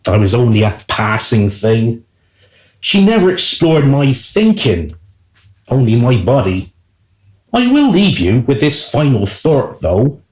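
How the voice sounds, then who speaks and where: Narrator, wry male servant, played by an actor. Radio play storytelling, arch, mid-pitched, swooping delivery. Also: very animated, takes it slowly, a little mellow, roomy sound, low-quality recording. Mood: wry, reflective. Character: wry, self-serving male servant narrating his tale.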